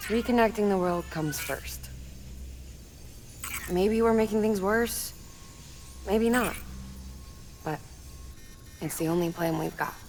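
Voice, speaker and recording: A woman's voice: mid-range voice, slow, long pauses, a little mellow, poor audio. An actress playing a reserved, candid and caring woman scientist in a video game cutscene. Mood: earnest, resigned. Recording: music behind the voice.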